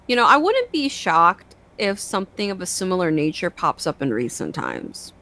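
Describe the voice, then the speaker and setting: Slightly low-voiced woman chatting casually. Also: wildly swooping pitch, normal speed, sharp tone. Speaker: reaction show co-host. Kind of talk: casual chat while watching videos.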